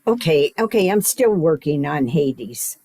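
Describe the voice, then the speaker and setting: Eager artsy effects woman, expressive swings, measured pace, amateur radio comedy; female actor. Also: low-pitched, heavily acted. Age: older.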